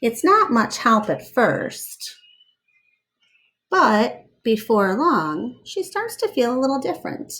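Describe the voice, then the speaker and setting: A woman reading a children's picture book aloud expressively, with deliberate, dramatic phrasing and asides to young listeners. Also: medium pitch, creaky. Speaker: read-aloud storyteller.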